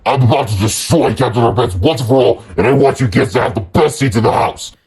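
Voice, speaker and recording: A man's voice: medium pitch, quick pace, over music, voice pushed down, close to an everyday voice. A man voicing a boastful, menacing storybook villain bent on destroying a superhero. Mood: gloating.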